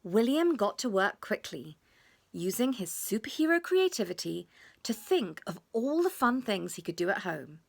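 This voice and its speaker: A woman reading a children's storybook expressively, with drawn-out emphasis and hushed moments. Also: medium pitch, sing-song, vocal fry. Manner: dramatic pauses, drawn-out emphasis, drops to hushed tones.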